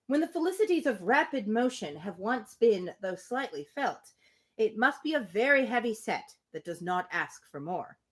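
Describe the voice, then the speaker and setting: A woman hosting a live novel reading, moving between read-aloud prose and playful, conversational commentary. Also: on the high side.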